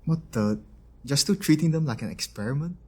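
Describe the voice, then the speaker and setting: A man playing a grumbling but soft-hearted robotics engineer in a scripted audio drama. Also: fairly high pitch, lively intonation, a little fast, slightly rough. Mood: concerned. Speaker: voice actor in an audio roleplay.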